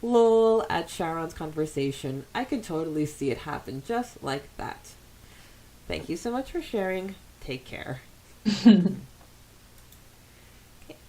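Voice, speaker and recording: A woman's voice: deep voice, lively intonation, measured pace, pauses often, dark tone, poor audio. A woman co-hosting a podcast, chatting casually and reading out fan comments with giggly enthusiasm. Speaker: podcast co-host.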